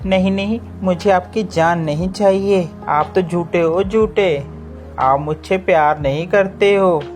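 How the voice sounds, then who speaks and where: Cartoon bird: narrator doing a sulky young female sparrow, high-pitched, slow, soft guitar behind. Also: lightly acted. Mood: sulking. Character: touchy young female sparrow, quick to sulk.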